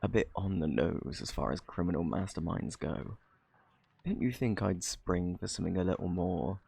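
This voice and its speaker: An actor playing a smug, flirty thief in a British accent, teasing and coy, in an ASMR roleplay. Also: male voice, low-pitched, creaky, darkish tone, husky, full character voice. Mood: mock-innocent. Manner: teasing, drawling, theatrically coy.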